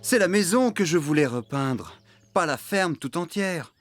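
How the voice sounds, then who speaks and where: An actor voicing an excitable, easily exasperated farmhand in a children's cartoon, theatrical and exclamatory. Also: medium pitch, measured pace, close to an everyday voice. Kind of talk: French animated children's series dialogue. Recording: music behind the voice.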